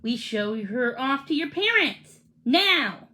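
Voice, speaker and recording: Woman voicing an eager, high-pitched young boy. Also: big pitch swings, slow, sharp tone, exaggerated voice. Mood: confident. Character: eager, encouraging young boy, fond of puppy.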